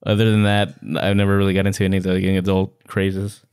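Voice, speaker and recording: A man's voice: low voice, completely flat delivery, fast talker, a little mellow, clear, resonant tone. A man co-hosting a podcast, talking casually about books and fandom in a laid-back, wry, jokey way. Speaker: podcast co-host. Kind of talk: casual book and fandom chat.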